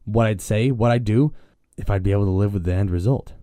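A man reading a dramatic first-person story in an earnest, measured way. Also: fairly low pitch, warm tone, no room sound. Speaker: story narrator.

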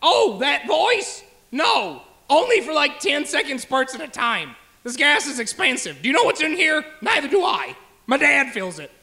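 A man's voice: extremely high pitch, huge pitch swings, moderate pace, gravelly, sharp tone, full character voice. A man playing an eager, clueless young amateur actor who rambles dimly in a comedy sketch. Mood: eager, rambling. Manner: rambling, dim-witted, hesitant. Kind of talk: audio comedy sketch acting.

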